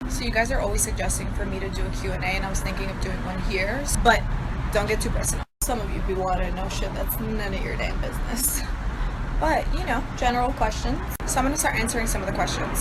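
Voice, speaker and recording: Brash, sassy young woman. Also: medium pitch. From New York. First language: Serbo-Croatian. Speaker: beauty influencer. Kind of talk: casual Q and A vlog. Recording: loud road noise.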